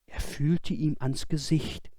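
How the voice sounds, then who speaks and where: A man reading a fairy tale for children as scripted audiobook narration, in an expressive, dramatic storytelling manner. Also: on the high side, takes it slowly, dry recording. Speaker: audiobook reader.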